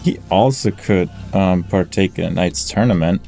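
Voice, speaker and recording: A man giving casual commentary as he plays a game, musing aloud with pauses mid-sentence. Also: low voice, creaky, mellow. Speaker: gamer, let's play host. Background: game music.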